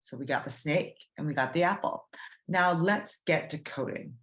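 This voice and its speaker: A woman's voice: low-pitched, moderate pace. A woman reading aloud as a teacher for young children, explaining simply and putting questions to the listeners. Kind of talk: children's read-aloud lesson.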